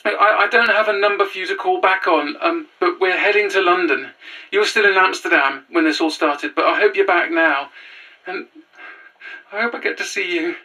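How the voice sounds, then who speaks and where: An anxious young runaway in a horror audio drama. The voice is bright and tense, with halting, stammered phrases and an earnest, haunted manner. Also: female voice, on the low side, far from the mic, poor audio. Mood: distressed, pleading. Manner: hesitant, stammering, breathless phrasing. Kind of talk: naturalistic audio drama acting.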